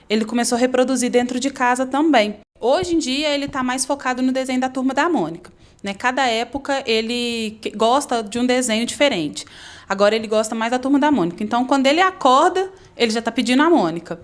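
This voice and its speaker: Mother talking plainly in interview. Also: fairly high pitch. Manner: plain conversational storytelling. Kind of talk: interview testimony.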